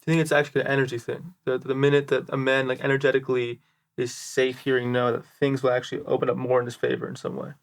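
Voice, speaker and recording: A man's voice: mid-range voice, completely flat delivery, fast talker, vocal fry. A man hosting a podcast interview in a casual, conversational way. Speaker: podcast host.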